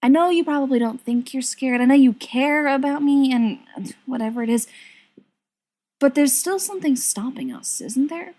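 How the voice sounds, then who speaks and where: A woman voicing an earnest, hurt young fiancée in an audiobook, halting and then insistent. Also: sits high, animated, warm tone, pitched above the performer's own voice. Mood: earnest, frustrated.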